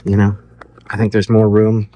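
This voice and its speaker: Low-voiced man, casual and offhand. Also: completely flat delivery, moderate pace, mellow. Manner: offhand, thinking aloud, loosely phrased. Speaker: electronics hobbyist host. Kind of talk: casual DIY project walkthrough.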